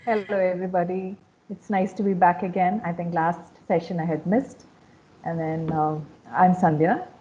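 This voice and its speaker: A woman's voice: lowish voice, steady tone, normal speed, dark tone. A woman from India introducing herself on a video-call panel in a friendly, plain way. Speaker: software company staff, panelist. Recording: dull lo-fi call audio.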